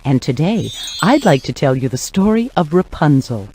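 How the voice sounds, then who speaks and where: Fairy godmother, kindly, gentle storytelling, very expressive intonation, children's storybook game. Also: deep voice, moderate pace, slight background noise. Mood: kindly, inviting. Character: kindly female fairy godmother telling fairy tales. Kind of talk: scripted children's storybook narration.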